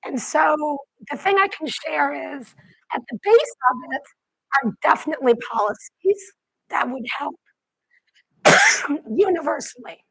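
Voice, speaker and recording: A woman on a panel, hoarse and half-whispering through a cold, coughing and clearing her throat between phrases. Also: high-pitched, animated, measured pace, a bit bright, dry recording, rough recording. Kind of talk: panel talk.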